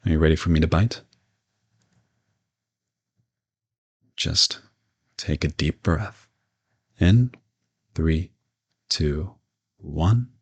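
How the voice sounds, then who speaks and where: A man playing a gentle, courteous old vampire in an intimate audio roleplay, reassuring and lightly teasing as he speaks to the listener. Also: very deep voice, slow pace, long pauses, breathy edge, full character voice. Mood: gentle, soothing. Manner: soft-spoken reassurance, politely formal with teasing asides.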